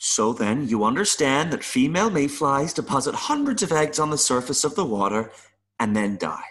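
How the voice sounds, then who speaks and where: A man playing an adult male mayfly in an audio play, nervous and earnest, pleading haltingly with little buzzing sounds. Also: medium pitch, sing-song, a bit bright. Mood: patient, explaining. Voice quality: buzzing interjections between phrases.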